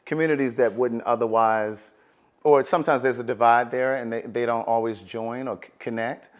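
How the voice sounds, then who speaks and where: A middle-aged man hosting a relaxed conversation, friendly and chatty, often restarting his sentences. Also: mid-range voice, moderate pace. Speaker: host. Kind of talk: relaxed interview chat.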